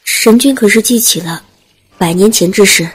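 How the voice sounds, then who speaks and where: Woman playing a polite immortal attendant in an audio drama, formal, earnest, medium pitch. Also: normal speed, husky, lightly acted, low-quality recording. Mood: startled. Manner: respectful, formal.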